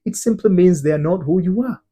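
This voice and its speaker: A man's voice: high voice, a little fast, mellow, husky. A man from Tanzania, working as an identity transformation coach, gives an earnest teaching talk, stressing single words and often restarting and repeating himself.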